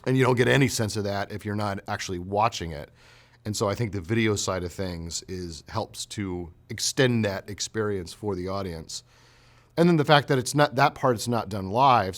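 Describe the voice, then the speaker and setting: Very deep-voiced man in casual interview. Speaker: college teacher being interviewed.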